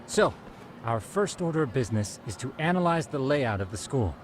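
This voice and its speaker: A man's voice: mid-range voice, sing-song, normal speed, background noise, close to an everyday voice. A man playing a polite, meticulous information broker in a video game, speaking in formal, precise phrases with smug pride. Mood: explanatory, composed. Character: polite, meticulous male information broker, smugly proud of his research. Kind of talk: video game cutscene acting.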